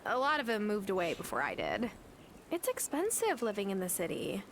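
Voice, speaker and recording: A woman playing a friendly young farmer in a video game, conversational and expressive. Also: mid-range voice, brisk, slight background noise. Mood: matter-of-fact.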